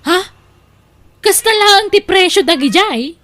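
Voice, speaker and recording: A woman plays a nosy cousin in an audio drama. The voice is very high, with a chatty, inquisitive manner. She gossips and teases as she asks her questions. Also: lively intonation, slow pace, fairly bright tone, heavily acted. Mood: surprised. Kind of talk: Ilocano audio drama acting.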